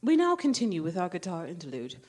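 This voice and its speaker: An actress playing a composed radio studio announcer reading bulletins in a formal, measured way for a radio drama. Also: female voice, lowish voice, huge pitch swings, darkish tone. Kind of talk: 1930s radio news announcing.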